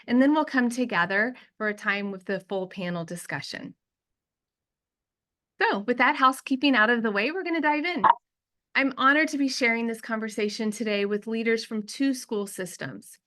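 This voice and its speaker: A woman hosting a webinar, giving a smooth prepared introduction of the panel. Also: a little high, fairly bright tone. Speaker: webinar host.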